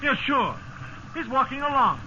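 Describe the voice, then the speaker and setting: High-pitched, animated shipwrecked sea captain. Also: male voice, moderate pace, heavily acted. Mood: excited hope. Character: reassuring, commanding male sea captain adrift in a lifeboat. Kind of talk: 1950s radio drama acting. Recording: muffled noisy old radio recording.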